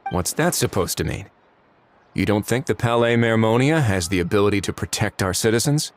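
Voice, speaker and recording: An actor playing a calm, probing male investigator in a video game, measured and quietly commanding. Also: on the low side, a little hiss or hum, lightly acted. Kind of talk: video game cutscene voice acting.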